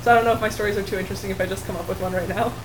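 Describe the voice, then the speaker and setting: A young woman who grew up speaking Polish talks through interview questions in a friendly, unhurried way. She often restarts her sentences. Her voice sits in a middle register, with a clear hiss of room noise behind it. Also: medium pitch, steady tone. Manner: friendly, hesitant, many restarts and laughs. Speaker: manual osteopath. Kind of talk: casual interview chat. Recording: noisy room ambience.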